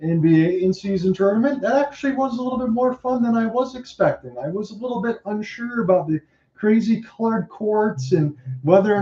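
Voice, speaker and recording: A man calling hockey play-by-play, his calls rising sharply and quickly on scoring chances. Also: sits high. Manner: rapid excited calls that rise sharply on chances. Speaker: play-by-play announcer. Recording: dull lo-fi roomy home mic.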